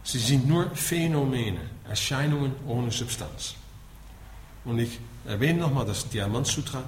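A man's voice: medium pitch, measured pace. A middle-aged man from the Netherlands with a Dutch accent gives an explanatory talk as a Buddhist teacher, often tagging his points with a short questioning word. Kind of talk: spoken lecture to listeners. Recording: echoey room with faint background noise.

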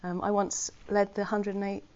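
A woman of middle pitch gives an informal talk to her Buddhist community. She is chatty and earnest, with flashes of self-deprecating humour. The recording sounds slightly muffled. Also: little pitch movement, normal speed, darkish tone. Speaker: Buddhist order member giving a talk. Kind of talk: informal Dharma talk to a gathering.

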